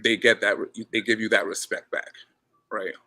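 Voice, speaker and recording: Low gravelly guy from ghana, bright forceful voice, chatting as a guest coach. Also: moderate pace. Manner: relaxed, repeats words, says he has a loud voice. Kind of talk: casual interview chat.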